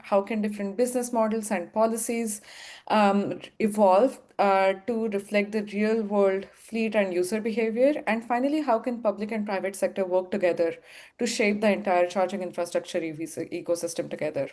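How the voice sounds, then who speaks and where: A woman moderating a webinar panel, warm and measured in a formal way. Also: mid-range voice.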